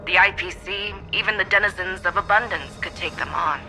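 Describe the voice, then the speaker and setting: A cautious war strategist, with a somewhat high, very bright, tense voice. He weighs every word like a briefing. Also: brisk, faint music behind, exaggerated voice, poor audio. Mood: grave. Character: grave male military strategist. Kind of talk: game voice acting.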